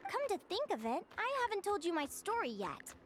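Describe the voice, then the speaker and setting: A woman's voice: very high-pitched, sing-song, fairly quick, a crowd in the background. A woman voicing a cheerful, friendly young winged changeling girl in a video game. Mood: gentle, candid. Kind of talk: English video game voice acting.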